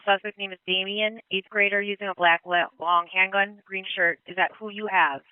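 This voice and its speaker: A male police dispatcher speaking over radio traffic in a calm, clipped way, repeating back information. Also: high-pitched, moderate pace, clean tone, no echo, narrow, tinny audio. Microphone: dispatch console radio.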